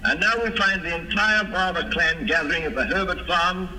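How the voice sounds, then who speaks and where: A man reading formal, measured scripted narration as the announcer of an old-time radio serial. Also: a little high, pushed and bright, low-quality recording. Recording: vintage radio air check with music. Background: music.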